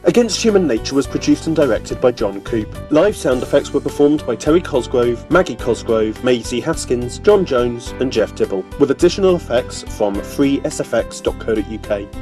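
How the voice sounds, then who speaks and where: A man's voice: mid-range voice, animated, moderate pace, hardly any pauses, darkish tone, soft background music. A man reading a scripted announcement as the credits announcer for an audio drama.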